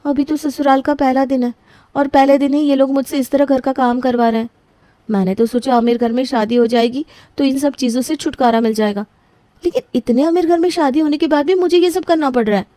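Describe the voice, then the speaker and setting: Young scheming daughter, self-pleased, high voice, story drama, acted by a woman. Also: fairly even intonation, fairly quick, mellow, no room sound, lightly acted. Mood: grumbling.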